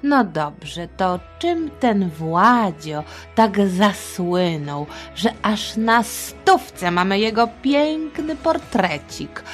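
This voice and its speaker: A middle-aged woman telling history to children in a chatty, playful, theatrical way, with asides. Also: mid-range voice. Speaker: storyteller, podcast host.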